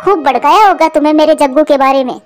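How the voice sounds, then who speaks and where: A woman voicing a sharp-tongued, doting mother crow who nags indignantly in a cartoon. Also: sits high, quick pace, faint music behind, natural voice. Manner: indignant, nagging. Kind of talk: Hindi cartoon voice acting.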